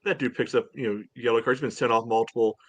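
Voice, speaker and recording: A man's voice: medium pitch, steady tone, fast. A man co-hosting a casual soccer podcast, blunt and sweary, often padding his sentences with "you know". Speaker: sports podcast co-host. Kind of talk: casual fan chat about soccer.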